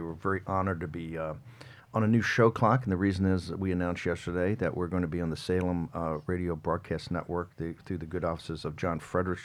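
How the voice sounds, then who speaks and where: A man with a low, deep voice hosts a political talk show. He speaks emphatically, stumbling over restarts as he throws out one pointed question after another.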